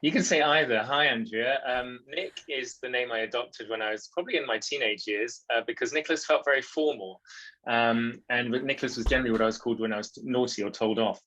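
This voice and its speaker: A man from Switzerland, talking as a guest on a podcast at an ordinary middle pitch. He tells his story in a thoughtful, unhurried way and often pauses to gather his words. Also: pushed and bright, low-quality recording. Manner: thoughtful and reflective, with frequent ums and restarts. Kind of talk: casual interview conversation.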